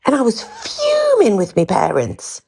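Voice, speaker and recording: A woman's voice: fairly high pitch, wildly swooping pitch, a little slow, vocal fry, darkish tone, breathy edge. An older woman from North East England with a faint Geordie accent, hosting a podcast and chatting candidly with frequent ums and restarts. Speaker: podcast host. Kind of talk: candid casual storytelling.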